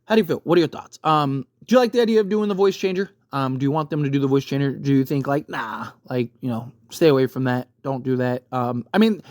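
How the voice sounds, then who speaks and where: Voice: mid-range voice, fast talker, few pauses, darkish tone, no room sound. Dry-sounding, emphatic casual video commentator. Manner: conversational, emphatic, repeatedly checking in with rhetorical questions. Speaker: YouTube host giving film commentary. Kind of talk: casual opinionated rant to camera.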